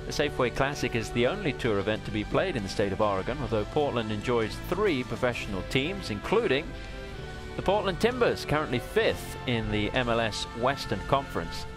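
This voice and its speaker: A man calling a women's golf broadcast as play-by-play announcer, with a smooth, measured delivery. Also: medium pitch, sing-song, over music. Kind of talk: TV golf commentary.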